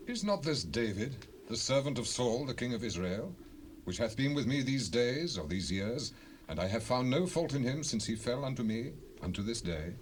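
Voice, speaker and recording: A man's voice: mid-range voice, expressive, normal speed, lightly acted. A man playing a kindly, regretful ancient king in a Bible drama, declaiming in an earnest, stately way. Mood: defending. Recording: faintly noisy 1970s cassette rip.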